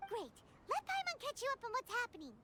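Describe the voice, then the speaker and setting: A woman voicing a small, childlike, talkative fairy companion in a video game, squeaky and exclaiming. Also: wildly swooping pitch, fast talker, bright, forceful tone, full character voice. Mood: eager. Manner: refers to self in third person, exclaiming. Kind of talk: video game character acting. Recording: music behind the voice.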